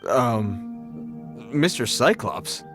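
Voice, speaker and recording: Formal but flustered guard guy, animated delivery, game scene with faint music. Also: medium pitch, very slow, exaggerated voice. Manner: earnest, formal, hesitant when flustered. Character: well-meaning, flustered, formal male patrolling guard. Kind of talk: video game voice acting.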